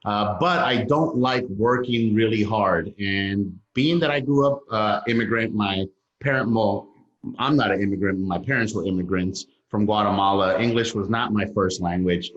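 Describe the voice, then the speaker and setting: A man's voice: lowish voice, moderate pace. A man from south Los Angeles, whose was not English, speaking as a podcast guest, candid and emphatic, swearing freely. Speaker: guest, real estate investor. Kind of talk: interview, telling his story. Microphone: over a phone line. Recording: dull lo-fi line with room echo.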